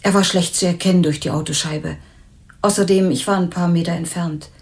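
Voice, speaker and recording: A young female witness acted by a woman in a crime radio play. She is cooperative but hesitant, recalling things in short phrases in a lowish voice. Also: steady tone, a little fast, a little mellow, natural voice. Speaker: actress in a radio drama.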